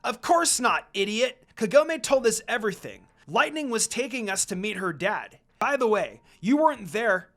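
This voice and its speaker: A man voicing a rude, quick-tempered young male half-demon who snaps and shouts. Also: high-pitched, big pitch swings, soft background music, pitched above the performer's own voice, exaggerated voice. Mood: angry shouting. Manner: shouting, snarky.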